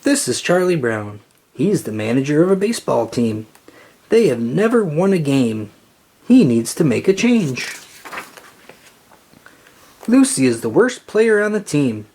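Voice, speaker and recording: Animated storybook reader with a husky edge. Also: mid-range voice, measured pace, pauses often. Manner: animated, acts out dialogue. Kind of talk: expressive read-aloud story narration.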